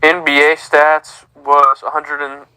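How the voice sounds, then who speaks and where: A man's voice: on the high side, little pitch movement, slow, bright, forceful tone, smooth, no room sound, rough recording. A man, co-hosting a casual sports podcast in an easygoing way, reading stats aloud. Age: 30s. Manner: easygoing, reads out lists. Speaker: podcast co-host.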